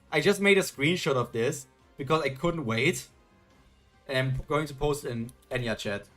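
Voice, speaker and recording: A man's voice: medium pitch, a bit bright. A man chatting casually while reacting to a webtoon on a live stream and reading chat. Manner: chatty, self-questioning, reads chat aloud.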